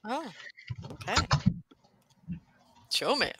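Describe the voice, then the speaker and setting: A woman's voice: fairly high pitch, very animated, extremely slow, sharp tone, breathy edge. A woman chatting casually with a friend as a guest on a reading stream, playful and thinking aloud as she talks. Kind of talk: casual chat between friends.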